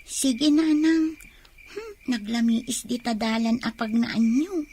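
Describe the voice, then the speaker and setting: A woman playing a gentle, dutiful young village daughter in an Ilocano radio drama. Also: a little high, takes it slowly, slight background noise. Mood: sleepy, obedient.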